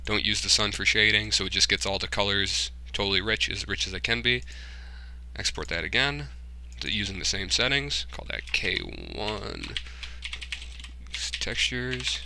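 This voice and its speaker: A man giving a casual screen-recorded software tutorial, informally thinking aloud and muttering to himself as he works. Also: on the low side, moderate pace, a little hiss or hum. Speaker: tutorial host, hobbyist game developer.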